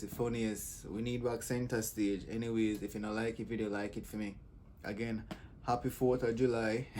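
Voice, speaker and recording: A man's voice: mid-range voice, a little mellow, slight background noise. A man with a Jamaican accent hosting casual, joking reaction commentary. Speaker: host reacting to comedy clips.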